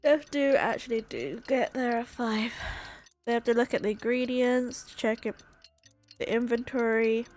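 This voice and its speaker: A woman giving casual gameplay commentary, halting as she thinks aloud. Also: a little high, darkish tone. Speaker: game streamer. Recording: game music behind the voice.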